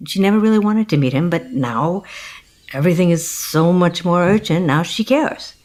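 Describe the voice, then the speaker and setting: An actress playing a middle-aged American single mother in an audio comedy, flustered, awkward and polite, with a halting, hesitant way of talking. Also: lowish voice, animated, a little mellow, lightly acted. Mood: hesitant, anxious. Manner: halting, hesitant, self-correcting. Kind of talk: naturalistic comedic audio play acting.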